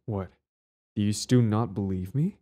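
A possessive young bully who is hopelessly lovestruck played by a man for an intimate audio roleplay. His voice sits fairly low with a dark, relaxed tone. He comes across as clingy and earnest, tripping over words as he goes. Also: unhurried, close to an everyday voice. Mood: tender, confessing.

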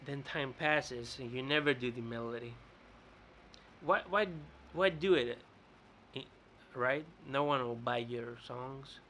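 A young man from Greece with a Greek accent, rambling in a casual vlog rant to his webcam in a bright indoor room, often repeating and restarting words. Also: medium pitch, takes it slowly, slight background noise. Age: young adult. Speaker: vlogger. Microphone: webcam close up.

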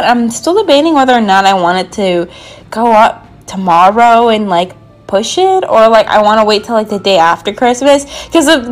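A young woman gaming creator doing a casual, bubbly, rambling voiceover with a slightly sore throat. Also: fairly high pitch. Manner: bubbly, rambling, frequent "like" and asides. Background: background music.

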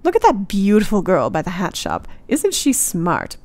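A woman's voice: medium pitch, wildly swooping pitch, normal speed, noisy background. A woman playing a caring, observant young Victorian wife in a radio play. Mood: cheerful, tender.

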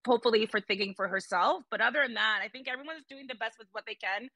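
A woman's voice: fairly high pitch, fast, sharp tone, dry recording. A woman guest on a casual video call, hesitant and rambling with many restarts. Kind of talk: casual chat. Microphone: webcam on video call.

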